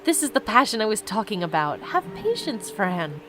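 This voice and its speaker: A woman playing a patient, courteous king in a fantasy game, instructive and unhurried in manner. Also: mid-range voice, big pitch swings, fairly bright tone, faint music behind, pitched below the performer's own voice.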